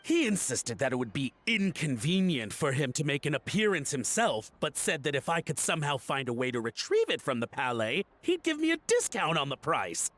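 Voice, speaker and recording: Fussy antique collector in a game, highish swoopy voice, flustered and over-dramatic. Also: male voice, very animated, a little fast, hardly any pauses, fairly bright tone. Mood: self-satisfied. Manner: flustered stammering and melodramatic pleading. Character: nervous, guilty gentleman antique collector, theatrically pleading. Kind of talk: voice-acted game dialogue.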